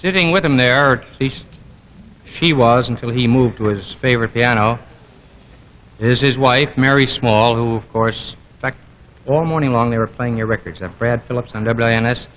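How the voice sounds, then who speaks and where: Bright-voiced male TV host. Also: medium pitch, moderate pace, highs cut off, a little hiss or hum. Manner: chatty, rambling introductions. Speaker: TV variety show host. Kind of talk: live broadcast introduction.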